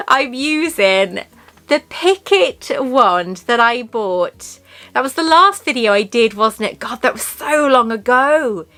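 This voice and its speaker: A woman's voice: a little high, animated, slow, bright, forceful tone. A woman with a Welsh accent hosting a chatty unboxing vlog, rambling, gushing and laughing often. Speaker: YouTube host.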